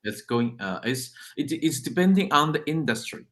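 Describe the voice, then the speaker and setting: A man's voice: fairly high pitch, animated, normal speed, a bit bright. A man, a guest who runs a shipping company, explaining things step by step in a casual interview with repeated words and fillers. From China. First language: Chinese.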